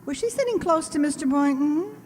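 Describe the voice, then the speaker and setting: Wry female English teacher played by a woman, 1940s radio comedy style, dry wit, very animated melody, hall reverb. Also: high-pitched, brisk, a little hiss or hum. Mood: dry, pointed. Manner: dry, sardonic delivery. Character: wry, sociable high school English teacher pining for a colleague.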